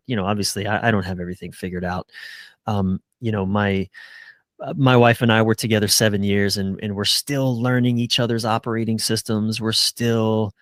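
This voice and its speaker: A man's voice: fairly low pitch, no echo, clean studio sound. A middle-aged man giving earnest, casual answers as a relationship coach in a conversational interview. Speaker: relationship coach, podcast guest.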